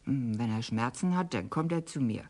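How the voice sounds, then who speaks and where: An actress in a radio crime play, playing the resigned, quiet wife of a policeman who suffers from pain. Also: extremely low pitch, animated, normal speed. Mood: weary. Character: weary middle-aged wife of a sick policeman. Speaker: Frau Oppenheusen.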